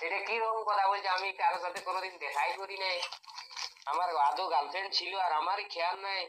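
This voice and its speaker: A man's voice: high-pitched, fast, pushed and bright, a little hiss or hum. A young man with a rural western Bengali accent, speaking in a bewildered, exclamatory, protesting way on a casual phone call. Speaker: prank call target.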